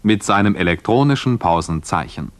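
Lowish male formal radio announcer, dry sound. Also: expressive, moderate pace, no echo. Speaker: broadcast announcer.

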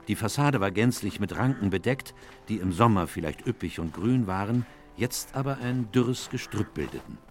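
A man playing a composed detective's companion, narrating a German radio mystery drama in measured literary style. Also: lowish voice, close to an everyday voice.

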